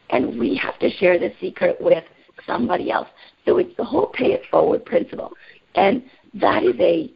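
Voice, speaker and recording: A woman with a raspy voice today, leading a motivational group call in an upbeat, energetic way and asking the group questions. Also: on the high side, lo-fi sound, a little hiss or hum. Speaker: team call leader.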